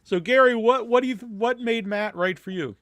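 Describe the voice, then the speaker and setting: Podcast host guy, pretty high voice, close dry sound, chatty. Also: brisk, a bit bright, no room sound. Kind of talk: casual interview.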